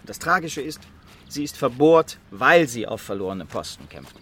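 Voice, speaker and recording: An actor playing a smug, well-off environmental activist in a radio drama, talking in condescending rhetorical flourishes. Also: male voice, medium pitch, very animated, moderate pace, pushed and bright, breathy edge, heavily acted. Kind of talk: naturalistic radio drama dialogue.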